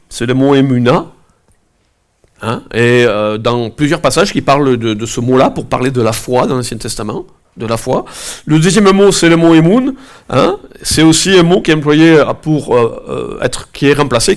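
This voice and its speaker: A man preaching while fighting a head cold, his voice sitting in an ordinary middle pitch. He talks to the congregation in a loose, conversational way, often pausing with fillers and side remarks before getting back to his point. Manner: conversational, hesitant, many fillers and asides. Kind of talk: informal sermon with prayer. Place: church gathering, also streamed online.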